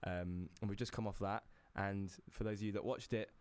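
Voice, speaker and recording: A young man with a British accent chats easily as a podcast co-host, laughing often. His voice sits fairly low. It is recorded close and dry, with no room sound. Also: steady tone, a little fast, a little mellow, dry recording. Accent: British English. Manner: laughs often, easygoing and chatty. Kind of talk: casual chat.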